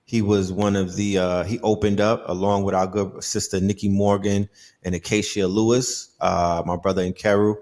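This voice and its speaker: A man's voice: on the low side, level tone, moderate pace, darkish tone. A man hosting a casual livestream interview, laid-back and slangy, often affirming his guest. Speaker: host.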